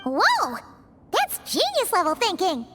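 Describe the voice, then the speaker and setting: A woman voicing a small, childlike fairy companion in an anime-style video game, perky, blunt and excitable, with a squeaky voice. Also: a little slow, big-room sound, music in the background, full character voice. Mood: eager. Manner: excitable, refers to herself in third person.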